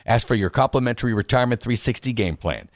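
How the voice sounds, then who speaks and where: A man reading a scripted radio advertisement with a smooth, persuasive delivery. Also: medium pitch, expressive, quick pace, dry recording, clean studio sound. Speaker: ad announcer.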